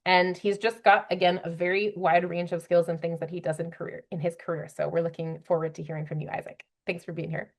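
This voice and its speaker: Low-pitched woman hosting a panel. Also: flat delivery, fairly quick, hardly any pauses. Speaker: panel host. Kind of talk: moderating a panel discussion.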